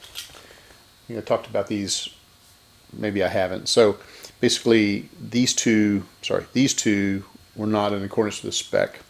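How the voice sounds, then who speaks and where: A man's voice: lowish voice, steady tone, a little slow, creaky, a little hiss or hum. A man giving unscripted hands-on repair commentary, thinking aloud methodically and correcting himself as he goes. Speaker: electronics repair host.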